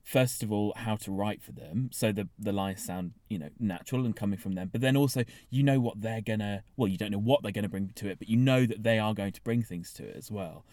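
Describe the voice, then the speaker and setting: A man's voice: lowish voice, brisk, hardly any pauses, mellow, no echo. A man interviewing casually, feeling his way through questions in a conversational manner.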